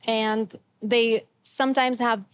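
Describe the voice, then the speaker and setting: A woman from Egypt, an artist guest giving relaxed, chatty interview answers. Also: fairly high pitch, slow, smooth.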